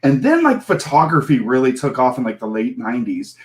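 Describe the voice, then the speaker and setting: A man's voice: medium pitch, big pitch swings, a little fast. A man in his forties, a comic creator guest on a podcast, telling a rambling, self-deprecating story and laughing often. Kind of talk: casual podcast interview.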